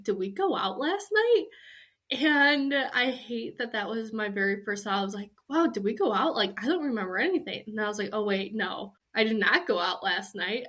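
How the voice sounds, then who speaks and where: A woman's voice: a little high, a bit bright. A young woman hosting a podcast, talking casually and candidly with a slightly nasally voice from a cold and lots of "like" fillers. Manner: chatty and candid, with many "like" fillers. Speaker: podcast host. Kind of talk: casual chat.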